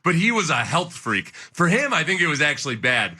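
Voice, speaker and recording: Bright, forceful middle-aged man, sports radio banter. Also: fairly high pitch, sing-song, fairly quick. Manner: jokey, exaggerating storytelling. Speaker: sports radio co-host, former pro football player.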